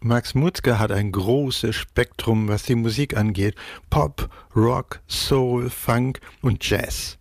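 A male reporter reading a scripted report voice-over in a measured, deliberate way. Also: mid-range voice.

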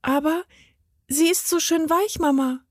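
Woman as a high-voiced plucky little girl. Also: measured pace, dry recording, clean studio sound. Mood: pleading. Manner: childlike, direct. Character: plucky, curious little girl, tender and cheeky.

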